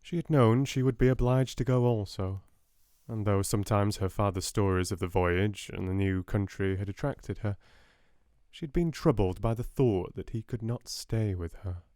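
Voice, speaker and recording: Soft-spoken, low-pitched British man narrating. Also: moderate pace, no room sound, studio quality. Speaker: audiobook narrator.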